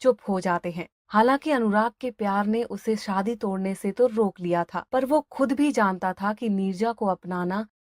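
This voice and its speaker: A woman's voice: mid-range voice, normal speed, hardly any pauses, darkish tone, no echo, clean studio sound. A woman telling a scripted dramatic story as its narrator.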